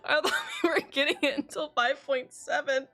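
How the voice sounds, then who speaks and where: A woman's voice: high voice, fairly even intonation, normal speed, pushed and bright, soft background music. A woman livestreaming a game, chatting informally and reading game dialogue aloud. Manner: chatty, informal, says "like" often.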